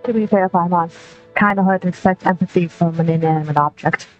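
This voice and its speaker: A woman's voice: on the low side, steady tone, fairly quick. A woman streaming a video game, giving casual, wry, exasperated commentary and reading along on a close mic. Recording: dull lo-fi streamer audio over game music.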